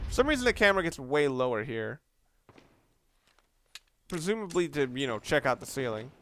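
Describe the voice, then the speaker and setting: A man's voice: mid-range voice, very animated, normal speed, fairly bright tone, a little hiss or hum. A man doing casual, rambling video game commentary, informal and opinionated, with some mild swearing. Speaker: let's play commentator.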